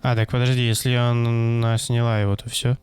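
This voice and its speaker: A man's voice: mid-range voice, flat delivery, fairly quick, a little mellow, clear, resonant tone, soft background music. A young man thinking aloud and joking casually while playing a detective game. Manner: mumbling, wisecracking, thinking aloud. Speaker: gameplay streamer. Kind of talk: casual let's-play commentary.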